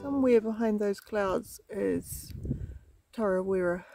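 A woman's voice: medium pitch, unhurried, creaky, warm tone, faint music behind. A middle-aged woman, chatting playfully and casually for a travel vlog. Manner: chatty, playful, talks affectionately to animals. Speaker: travel vlogger. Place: outdoors at a farm park and roadside. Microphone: handheld camera at arm's length. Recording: outdoor ambient noise. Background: birds, roosters and outdoor ambience.

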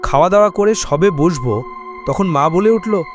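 A man narrating a cartoon ghost story in a plain, steady first-person telling. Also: high voice, moderate pace, faint music behind.

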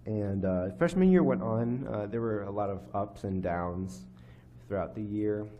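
A teenage boy giving a personal testimony at a church lectern, speaking haltingly with many fillers and restarts. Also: fairly low pitch, warm tone. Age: teens. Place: church hall, indoors. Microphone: microphone on a lectern stand.

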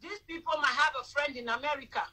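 A woman's voice: high-pitched, fast talker, crowd noise. A woman in her thirties hosting a live stream from her phone at home, lecturing her viewers emphatically. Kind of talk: casual live-stream chat. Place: indoor room at home. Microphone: phone front camera.

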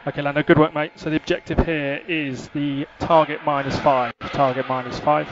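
A man's voice: fairly high pitch, normal speed. Man on noisy race team radio. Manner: calm, clipped, repeats instructions. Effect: team radio filter. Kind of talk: terse, instructional radio messages. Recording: muffled, noisy motor-racing team radio.

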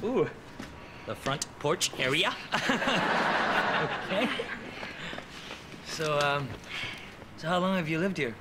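Slow-talking, easygoing deadpan man. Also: fairly high pitch. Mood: amused, curious. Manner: casual, hesitant, deadpan. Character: awkward, easygoing man flirting with a homeless woman. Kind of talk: sitcom acting. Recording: loud background noise, ambience.

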